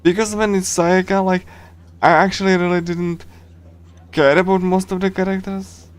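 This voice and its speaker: Casual male livestreamer, higher-toned, reading and commenting on a game in a loose, rambling manner. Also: level tone, normal speed, clear, resonant tone. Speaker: streamer.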